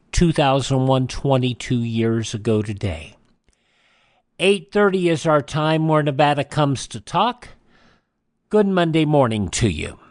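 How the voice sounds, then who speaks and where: A man with a slightly high voice hosts morning talk radio. He speaks slowly and solemnly, with measured phrasing, in a very dry studio sound. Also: dry recording. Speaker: radio morning show host.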